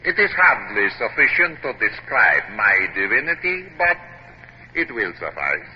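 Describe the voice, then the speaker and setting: An actor playing a pompous, imperious Latin American dictator who believes himself a god, in an old radio drama. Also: male voice, medium pitch, sing-song. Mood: grandiose. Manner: imperious, grandiose, deliberate. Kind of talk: 1950s radio drama acting. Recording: muffled, noisy 1950s radio recording.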